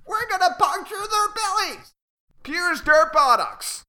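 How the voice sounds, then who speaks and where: A man voicing a rowdy gang of little weaver birds who shout eagerly. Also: very high-pitched, lively intonation, takes it slowly, voice pushed up, heavily acted. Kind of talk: storybook reading.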